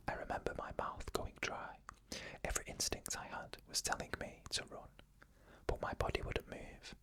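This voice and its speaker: An ASMR storyteller reading first-person tales in a fully unvoiced, hushed whisper, slow and even.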